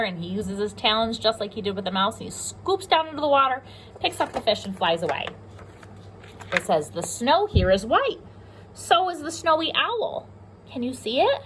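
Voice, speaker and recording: Animated woman reading to little kids. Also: fairly high pitch, brisk, slight background noise, low-quality recording. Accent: American. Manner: animated, questioning, addressing young children. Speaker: pre-K teacher. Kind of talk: children's picture book read-aloud with simple explanations.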